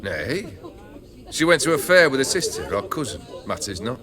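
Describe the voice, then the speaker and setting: A man's voice: mid-range voice, sing-song, moderate pace, over music, close to an everyday voice. An actor playing a jaded, cursed male noble who leads a band of bandits, talking in a languid, sardonic drawl in a game cutscene. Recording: rowdy crowd of revelers behind.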